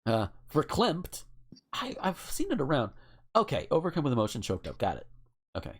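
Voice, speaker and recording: Casual, sweary podcast host, mid-range pitch, very lively intonation, halting read of lists with joking asides. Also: husky. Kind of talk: casual chat, reading out word lists.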